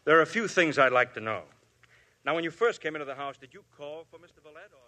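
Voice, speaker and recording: An actor playing a brisk, probing police inspector in a 1950s radio drama. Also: male voice, fairly high pitch, lively intonation, sharp tone. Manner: probing, businesslike questions. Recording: old 1950s radio broadcast.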